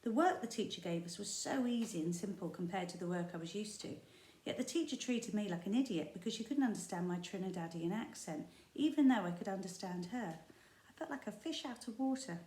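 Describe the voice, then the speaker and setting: A woman primary school teacher reading a children's book aloud, expressive and storytelling, with the odd slip. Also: mid-range voice, a little fast. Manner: expressive storytelling with small slips and asides. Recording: echoey room.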